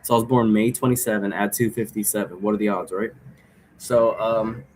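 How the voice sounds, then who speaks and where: A man's voice: on the low side, steady tone, brisk, darkish tone, low-quality recording. A man in his thirties giving a casual, unscripted explanation of game rules in a rambling, informal way, often trailing off and circling back. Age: 30s. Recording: noticeable background noise.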